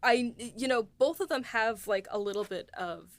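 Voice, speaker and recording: A woman's voice: on the high side, moderate pace, dry recording. A woman hosting a casual chat who laughs easily and puts loose, rambling questions to the writers. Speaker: host asking the writers questions.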